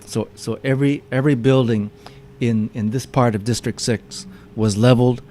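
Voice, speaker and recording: A man's voice: mid-range voice, steady tone, moderate pace, warm tone. A man giving a public lecture with slides, often stopping to repeat his words as he explains. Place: meeting room with audience.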